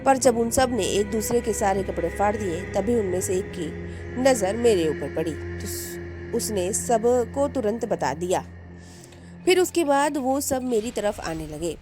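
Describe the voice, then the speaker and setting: A woman telling a first-person horror story, dramatic, rising with suspense and dropping to a hush in tense moments. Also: medium pitch, lively intonation, a little slow. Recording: music bed under the voice.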